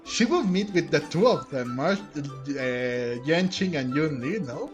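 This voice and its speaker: A man's voice: a little high, lively intonation, slow pace, soft background music. A man doing casual, playful let's-play commentary, reacting aloud and reading game dialogue. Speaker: game streamer.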